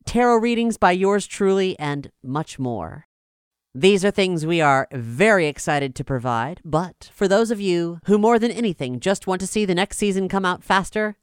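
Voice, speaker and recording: Smooth, low-voiced woman podcast host. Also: dry recording, studio quality. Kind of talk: scripted podcast announcement.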